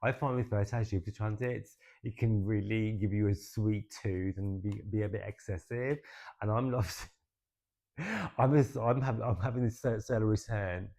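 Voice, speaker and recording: A young man giving a casual, expressive tarot and astrology reading, chatty and swearing now and then, with "right?" tacked onto his points. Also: fairly low pitch, moderate pace. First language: English.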